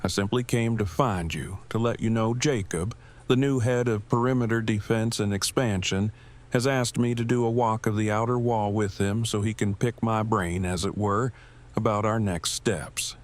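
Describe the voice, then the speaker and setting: A man voice-acting a brainy, awkward man in an audio drama, speaking in a stilted, wordy, deadpan way. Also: lowish voice, moderate pace, lightly acted. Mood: earnest, formal. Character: Eugene, brainy awkward man with stiff verbose formality.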